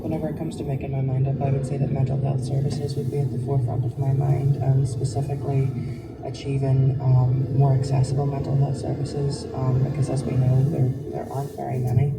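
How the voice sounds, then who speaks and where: A 19-year-old man with a Northern Irish accent, giving measured answers in an interview. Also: monotone, creaky. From Strabane, Northern Ireland. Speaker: first-time voter being interviewed. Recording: distant mic, loud ambient rumble.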